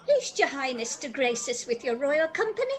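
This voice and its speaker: Woman as very high-pitched courtly noble. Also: very animated, moderate pace. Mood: polite. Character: courteous, deferential noble guest at a royal banquet. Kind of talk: amateur Shakespearean radio play acting.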